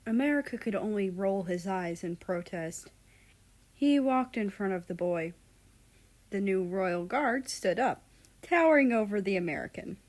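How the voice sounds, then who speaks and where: A woman's voice: mid-range voice, lively intonation, a little slow, a little mellow. A woman reading a fan fiction story aloud as scripted narration.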